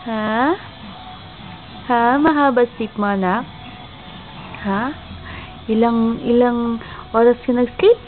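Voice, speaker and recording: A woman's voice: on the high side, takes it slowly, pauses often, soft background music. A Filipino woman talking to her baby in playful, sing-song baby talk, cooing and repeating teasing little questions. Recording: tinny, phone-like home recording.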